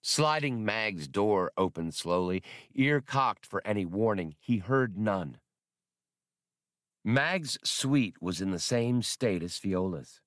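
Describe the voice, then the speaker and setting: A man's voice: on the low side, lively intonation, slow pace, fairly bright tone, dry recording, clean studio sound. A man reading scripted fiction as an audiobook narrator.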